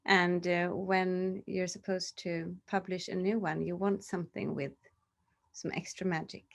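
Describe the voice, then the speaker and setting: A woman's voice: on the low side, steady tone, measured pace, creaky. A woman from the publishing side interviewing an author in casual chat, curious and encouraging, laughing along.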